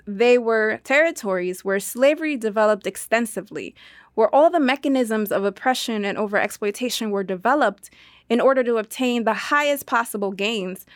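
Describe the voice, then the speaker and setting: A woman with a fairly high voice delivers scripted, translated narration in a dry, close recording. She speaks formally and explains things in a measured, orderly way, as in a historical analysis. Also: no echo. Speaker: interview guest's translation voice-over.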